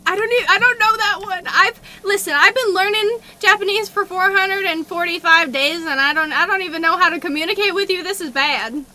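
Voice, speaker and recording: A young woman streamer chatting casually, excitable, laughing and tripping over her words. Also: very high-pitched, fairly quick, few pauses, a little hiss or hum. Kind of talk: casual chat on a livestream.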